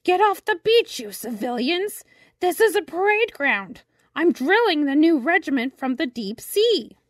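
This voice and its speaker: A cross old horseshoe crab commander from a storybook. The voice is scratchy and pitched high, barking military orders in slow, stretched-out words. It is recorded dry and close. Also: female voice, sing-song, dry recording.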